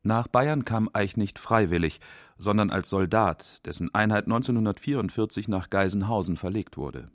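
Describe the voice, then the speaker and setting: Low-voiced man narrating a documentary. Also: normal speed, dry recording, clean studio sound. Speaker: narrator of a radio feature.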